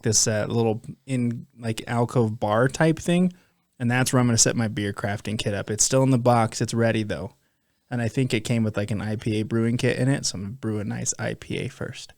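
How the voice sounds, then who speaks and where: A man's voice: mid-range voice, brisk, a little mellow, no echo, studio quality. A man in his thirties co-hosting a podcast, laid-back and teasing, swearing casually. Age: 30s. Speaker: podcast co-host.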